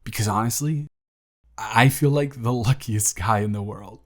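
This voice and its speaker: A young man playing a grateful single father who talks softly and teases gently in a romantic audio story. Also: mid-range voice, normal speed, lightly acted. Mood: tender, playful. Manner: soft-spoken, gently teasing. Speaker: audio drama performer.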